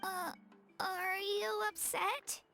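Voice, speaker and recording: A little fairy companion girl from an anime game. The voice is piercingly high and childlike, with a scratchy creak in it. She is perky and loyal, and music plays clearly underneath. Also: little pitch movement, extremely slow, sharp tone, heavily acted. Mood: puzzled. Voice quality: squeaky, childlike. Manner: refers to self by name, perky.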